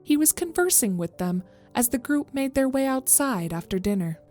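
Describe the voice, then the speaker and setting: A woman's voice: sits high, expressive, moderate pace, warm tone, faint music behind. A woman narrating a scripted horror story as its storyteller.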